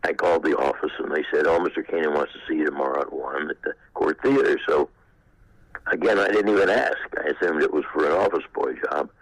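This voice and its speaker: An older man from Texas being interviewed, reminiscing in a halting, anecdotal way. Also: extremely low pitch, husky, no room sound, rough recording. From Texas, United States. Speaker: interviewee, former actor. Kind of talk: unscripted reminiscence.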